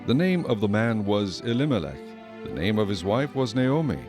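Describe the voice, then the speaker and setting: A man narrates scripture in a measured, solemn voice. His tone sits low, and clear music plays behind the reading. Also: very animated.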